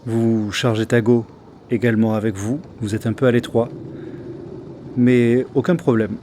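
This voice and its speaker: Halting French game master. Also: male voice, medium pitch, steady tone, mellow, a little hiss or hum. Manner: halting, with many fillers and restarts. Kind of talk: narrating a tabletop game.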